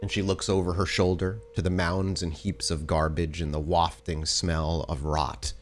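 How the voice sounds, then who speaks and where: A man's voice: deep voice, little pitch movement, normal speed. A man hosting and narrating a tabletop role-playing podcast as its storyteller. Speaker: host and game storyteller. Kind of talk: casual show chat and game narration.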